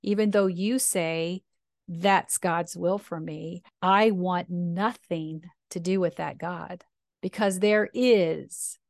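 A woman minister with a deep voice, speaking earnestly and reflectively in slow, deliberate phrases. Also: vocal fry, no echo, clean studio sound. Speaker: minister and podcast host. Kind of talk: reflective personal talk.

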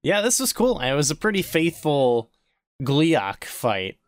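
A man's voice: on the high side, very animated, measured pace, clean tone, no room sound, clean studio sound. A man co-hosting a podcast in casual, joking conversation. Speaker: podcast co-host.

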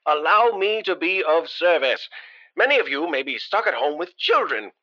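An actor playing a pompous, smugly callous newspaper columnist of 1918 in a mannered vintage radio style. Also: male voice, fairly high pitch, sing-song, normal speed, pushed and bright, soft background music. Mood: smugly chipper. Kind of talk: vintage radio editorial acting. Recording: dull old-time radio sound.

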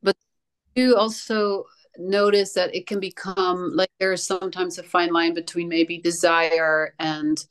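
Slow, low-voiced Dutch woman, searching for words. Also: little pitch movement, clear, resonant tone. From Netherlands. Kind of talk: reflective casual conversation.

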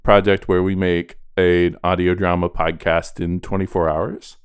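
A man's voice: low-pitched, little pitch movement, a little slow, clear, resonant tone, no echo, studio quality. A man co-hosting a podcast in casual chat, talking in a chatty, self-deprecating way. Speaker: podcast co-host.

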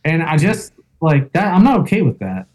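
Male interview guest, loud, mellow tone, slightly high, rambling first-person storyteller. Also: sing-song, moderate pace. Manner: storytelling with fillers and reenacted quotes.

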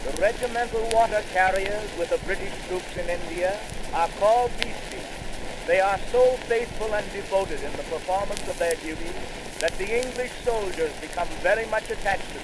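A man with a notably high voice recites verse in a slow, grand theatrical manner, leaping upward on the shouted lines. The sound comes from a crackly 1919 phonograph record, with a steady hiss and pops beneath every word. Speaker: poetry reciter. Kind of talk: old-fashioned theatrical poetry recitation.

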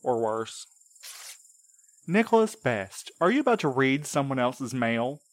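An actor playing a wry, irreverent courier in an audio drama, talking in a casual, slangy, sarcastic way. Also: male voice, mid-range voice, sing-song, measured pace, dry recording. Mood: dryly teasing. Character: wry, irreverent male courier, reluctant and uneasy. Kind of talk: naturalistic full-cast audio drama.

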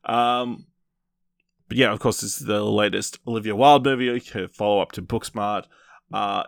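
A man hosting a podcast, casually reviewing films in a rambling, halting way with repeated false starts. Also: on the low side, vocal fry, no echo. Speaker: podcast host. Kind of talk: casual film review chat.

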